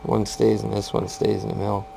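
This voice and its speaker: American man, slightly low flat voice, casual workshop demonstration, plain-spoken, noisy amateur recording. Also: a little fast, gravelly. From California, USA. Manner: plain-spoken, matter-of-fact. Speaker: machinist demonstrating a repair. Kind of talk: casual hands-on workshop walkthrough. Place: machine shop, indoors.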